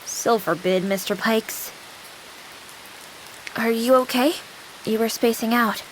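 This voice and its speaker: A woman's voice: on the high side, slow pace, a little hiss or hum, low-quality recording. A woman playing a young female AI companion with an anime-girl persona in a visual novel game, devoted and formal but playful. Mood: calm. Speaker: game character.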